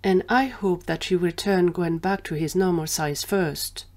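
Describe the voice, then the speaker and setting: Woman narrator reading a scripted story, voice a little on the low side. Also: unhurried, darkish tone.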